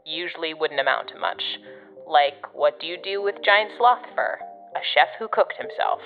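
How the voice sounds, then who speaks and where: A woman's voice: low-pitched, expressive, normal speed, phone-quality sound, soft background music. A woman playing a jaded agency operative in an audio drama, dry, sarcastic and casual, heard through a radio filter. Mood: explaining. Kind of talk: audio fiction podcast acting.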